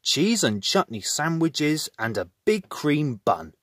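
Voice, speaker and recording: Good-natured builder, very animated intonation, raised exclaiming voice, children's storybook, man acting. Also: fairly high pitch, takes it slowly, no echo, exaggerated voice. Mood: cheerful.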